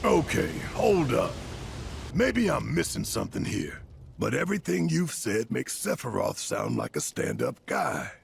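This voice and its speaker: Very gravelly, hot-tempered rebel fighter. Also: male voice, mid-range voice, wildly swooping pitch, unhurried, slight background noise, exaggerated voice. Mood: puzzled, skeptical. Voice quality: gravelly, husky. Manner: blunt, emphatic. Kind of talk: video game cutscene acting.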